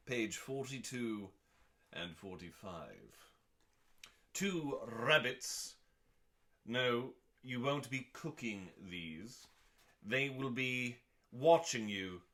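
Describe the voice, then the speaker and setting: A man performs a theatrical reading in a mid-pitched, bright and forceful voice. His pacing is very slow, with weighty pauses and drawn-out emphasis. He sounds pompous and mock-serious, treating every phrase as high drama. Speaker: host reading aloud from a cookbook.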